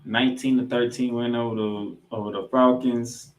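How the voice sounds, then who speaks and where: A man's voice: medium pitch, little pitch movement, normal speed, poor audio. A man co-hosting a sports podcast, giving football recaps with emphatic, announcer-like delivery of scores and stats mixed with casual banter.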